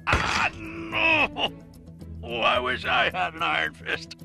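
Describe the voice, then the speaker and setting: A scornful older game-cartoon newsman rants sarcastically. His voice is high and gravelly, loud-mouthed and emphatic, over clear background music. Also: big pitch swings, slow, full character voice. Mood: blustering. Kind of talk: mock live broadcast rant in video game.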